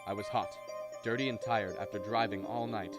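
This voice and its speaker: An actor playing an anxious, insistent man in a radio drama, both narrating and speaking halting, repetitive lines. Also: fairly low pitch, steady tone, loud music behind the voice, close to an everyday voice. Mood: tense, narrating. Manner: halting, repeats words when agitated. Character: anxious, insistent man searching for his missing brother. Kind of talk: radio drama acting with first-person narration.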